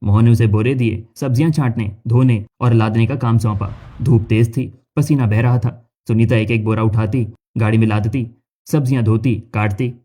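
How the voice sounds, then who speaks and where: A male narrator reads a scripted cartoon story. His pitch is average and his tone is soft and dark. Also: fairly even intonation, moderate pace.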